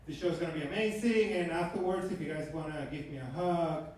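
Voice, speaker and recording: A man in his thirties from Venezuela with a Venezuelan Spanish accent, doing an animated stand-up comedy set on a club stage with a handheld mic. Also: on the high side, fairly quick. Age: 30s. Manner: animated, shouting punchlines. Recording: echoey club from far off, noisy.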